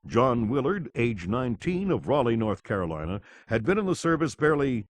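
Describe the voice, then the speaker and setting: Game role: male news anchor, played by a man, formal, grave, emphatic pitch movement, dry booth recording. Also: no echo, lightly acted. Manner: formal, measured announcing. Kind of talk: scripted news bulletin in a video game.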